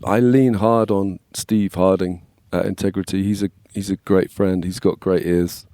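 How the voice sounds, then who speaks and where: An English man in his forties with a slightly scratchy voice, chatting casually as a podcast guest and telling stories in a relaxed way. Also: sits low, moderate pace, clean tone, no room sound, studio quality. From England. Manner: relaxed, storytelling, frequent "yeah, man". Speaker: guest, worship label manager. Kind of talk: casual conversation.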